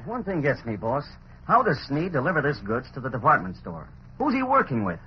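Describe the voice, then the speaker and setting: A man playing an eager, slangy reporter in a 1940s radio drama, punchy and animated with a rough, husky voice. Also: medium pitch, a little fast, exaggerated voice. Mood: eager, cocky. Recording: dull old radio recording.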